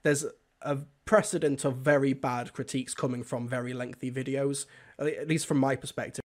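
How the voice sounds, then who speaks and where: A man's voice: mid-range voice, steady tone, a little fast. A man giving an earnest opinion in conversation, careful and hedging as he goes.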